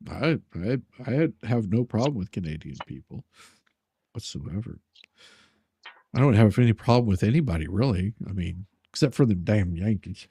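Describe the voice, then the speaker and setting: A man's voice: lowish voice, animated, moderate pace, dark tone, breathy edge, dry recording. A man hosting a live stream in casual, joking chat with a teasing, sarcastic manner.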